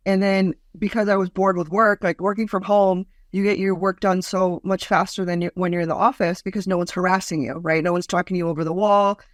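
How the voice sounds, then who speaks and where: A woman in her forties with a deep voice, a comedian guest telling stories in a lively, mocking way. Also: fairly quick, hardly any pauses, dry recording, studio quality. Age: 40s. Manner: lively, storytelling, mocking asides. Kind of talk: casual interview chat.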